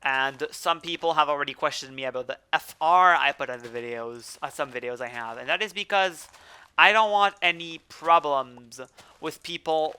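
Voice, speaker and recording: A man speaking English with a French accent hosts a relaxed gaming podcast. His voice is very bright and smooth, and he rambles, restarting sentences as he goes. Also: on the high side, normal speed. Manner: rambling, self-correcting, chatty. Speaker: let's play host. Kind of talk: casual podcast chat while gaming.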